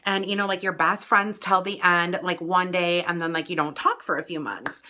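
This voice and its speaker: An American woman vlogger telling a personal story casually, in a chatty, animated way with dramatic emphasis. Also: fairly low pitch, moderate pace.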